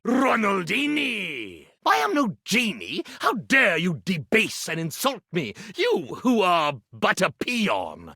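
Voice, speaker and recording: A pompous stage magician for a game, raspy n kinda high, super theatrical old-timey bragging about dark magic. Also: male voice, no echo, studio quality, exaggerated voice. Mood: mystical boasting, then indignant, haughty. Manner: grandiose, archaic, melodramatic declaiming. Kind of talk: theatrical video game character acting.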